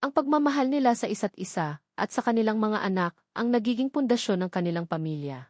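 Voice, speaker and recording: A woman's voice: mid-range voice, expressive, fast talker, darkish tone, dry recording, studio quality. A woman with a Filipino accent reading a scripted romance story as its narrator.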